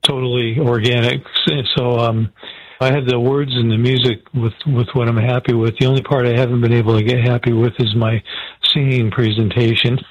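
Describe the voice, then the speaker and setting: Dull phone line, older man, even tone. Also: mid-range voice, fairly quick, dry recording. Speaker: caller to a radio show. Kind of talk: casual explanation, earnest storytelling.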